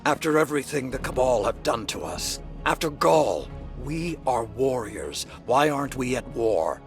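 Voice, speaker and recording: An actor playing a stern, hawkish veteran warlord in a sci-fi game, with a grave, forceful manner. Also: male voice, mid-range voice, sing-song, normal speed, soft background music. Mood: angry urging. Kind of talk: dramatic sci-fi game voice acting.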